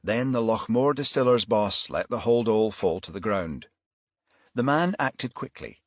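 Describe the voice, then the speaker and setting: A man's voice: on the low side, animated, measured pace, dry recording, studio quality. A man reading a crime thriller audiobook as scripted narration.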